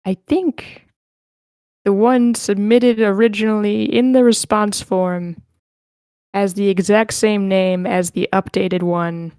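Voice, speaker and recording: A woman with a clear, smooth voice hosts an online read-through. She talks casually and informally, apologetic in manner. The sound is dry and close, with no room around it. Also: medium pitch, fairly even intonation, slow, darkish tone, no echo. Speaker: host of an online screenplay table read. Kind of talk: casual online meeting chat.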